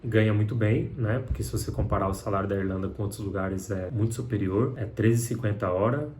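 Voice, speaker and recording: Relaxed young Brazilian man vlogging. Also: on the low side, fast, a little hiss or hum. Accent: Brazilian Portuguese. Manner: conversational, frequent filler tags, candid. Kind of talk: casual talk to camera.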